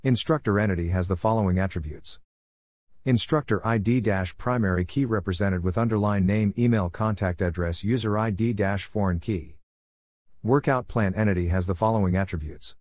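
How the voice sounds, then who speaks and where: A man narrating a scripted slide tutorial, reading through lists in an even, methodical tone. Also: lowish voice, moderate pace, no room sound. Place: voice-over over slides.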